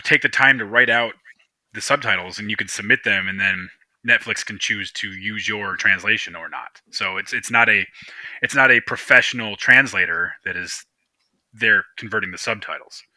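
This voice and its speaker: A man chatting on a podcast, laid-back and agreeable, often trailing off quietly. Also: on the low side, moderate pace, no echo, rough recording. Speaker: podcast co-host. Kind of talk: casual chat.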